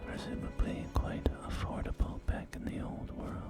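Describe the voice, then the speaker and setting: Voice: moderate pace, close to an everyday voice. A performer voicing a polite, eager post-apocalyptic real estate agent in a whispered ASMR roleplay, with courteous, hesitant sales patter. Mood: gently enthusiastic. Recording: loud music bed under close whispering. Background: music and game audio.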